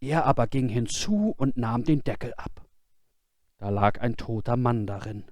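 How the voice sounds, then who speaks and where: A man reading a fairy tale for children as scripted audiobook narration, in an expressive, dramatic storytelling manner. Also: medium pitch, measured pace, no echo, studio quality. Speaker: audiobook reader.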